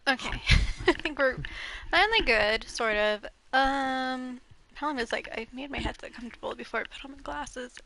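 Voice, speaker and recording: A woman's voice: high-pitched, normal speed, bright, forceful tone, a little hiss or hum, rough recording. A young woman streaming a video game from home over a headset, a little congested, rambling casually with frequent ums and giggles. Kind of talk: casual gameplay live stream chat. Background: faint game music.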